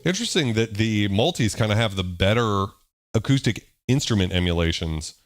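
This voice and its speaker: A man hosting a casual livestream, thinking aloud in a relaxed, slightly growly voice as he tries out sounds. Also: on the low side, moderate pace, soft background music. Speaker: livestream host. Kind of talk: casual livestream chat while demoing a synth. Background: loud synthesizer playing.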